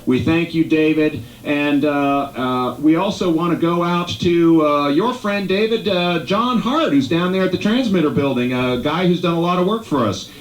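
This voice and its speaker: A man's voice: fairly high pitch, moderate pace, few pauses. A man speaking as a radio station manager, making a live ceremonial announcement. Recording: echoey room.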